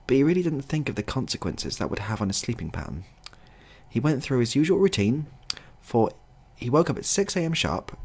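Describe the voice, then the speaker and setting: Guy reading a funny story, raspy and croaky, pitch all over the place. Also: a little mellow. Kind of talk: scripted comic story narration.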